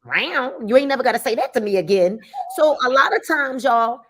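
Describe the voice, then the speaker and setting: A woman's voice: fairly high pitch, a little fast. A woman in her fifties chatting casually as a network marketing mentor, telling stories with acted-out dialogue and colloquial speech. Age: 50s. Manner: animated storyteller, acts out dialogue, colloquial. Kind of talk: casual chat.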